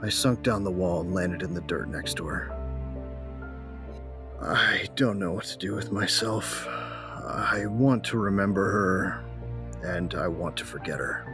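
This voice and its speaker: A man's voice: sits low, a little slow, music in the background. A man playing a grieving, embittered bounty hunter who narrates his own story in an audio drama, brooding and terse. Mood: heavy, grieving.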